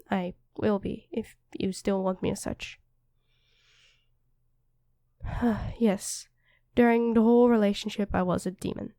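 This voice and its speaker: A woman's voice: fairly high pitch, mellow, no room sound, clean studio sound. A woman playing a feisty, protective demon queen who is a devoted girlfriend, commanding yet affectionate, in an ASMR roleplay.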